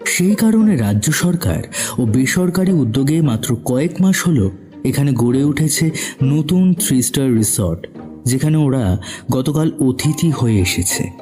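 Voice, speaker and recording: Male narrator for suspense audio story: mellow, dark tone, mid pitch, soft music underneath.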